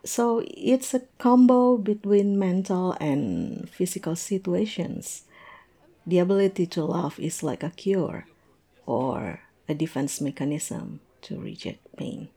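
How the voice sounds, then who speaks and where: A tipsy, observant female coworker acted by a woman muses and teases over drinks in an audio roleplay. Her voice is dark and mellow, with plenty of gravelly fry. She takes her time, speaking in a halting, unhurried way. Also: fairly low pitch, expressive, exaggerated voice. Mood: musing. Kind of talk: intimate audio roleplay, conversational monologue.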